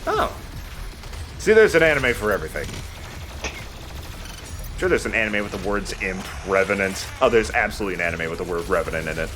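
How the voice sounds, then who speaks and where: A man's voice: lowish voice, very animated, fairly quick, long pauses, noisy background. A man hosting a let's play, giving casual commentary on a video game.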